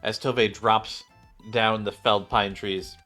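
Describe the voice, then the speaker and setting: A man's voice: lowish voice, steady tone, a little slow, bright, forceful tone. Male game streamer narrating story text, stumbles and rereads, game music underneath.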